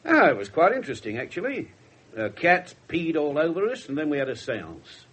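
A man playing a gruff, wry, irreverent English police inspector in a radio drama, blunt and husky. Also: fairly high pitch, sing-song, a little fast, a bit bright, heavily acted. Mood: wry, grumbling. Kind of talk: 1970s radio drama acting.

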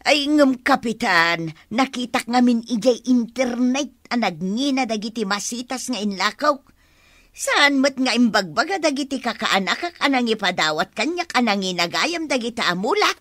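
An aggrieved village plant seller for radio comedy. The voice is high and bright, with big swings of pitch. She is whiny and exclaims melodramatically. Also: animated, moderate pace, no echo, studio quality. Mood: pleading. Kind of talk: radio comedy drama acting.

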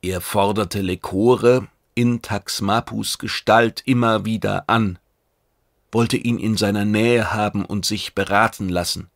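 A man's voice: on the low side, steady tone, a little slow, vocal fry, no echo, clean studio sound. A man reading a science fiction audiobook as a dramatic scripted narration.

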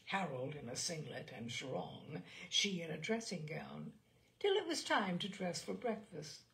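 A woman reading literary fiction aloud as a storyteller, in a measured, expressive audiobook style. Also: fairly low pitch, gravelly.

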